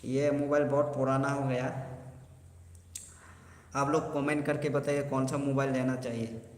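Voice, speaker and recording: Young Tripura-accented man, Kokborok native, casual live-stream host, steady monotone delivery in a roomy, echoey space. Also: mid-range voice, a little slow. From Tripura, India. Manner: greets and thanks viewers, reads out comments, broken Hindi. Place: small echoey room, seated.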